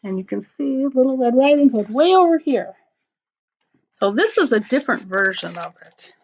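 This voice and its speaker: A woman teacher reading a storybook aloud to children, in simple phrases with deliberate pauses. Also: high voice, lively intonation, gravelly, darkish tone, dull audio. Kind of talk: storybook read-aloud with explanatory asides.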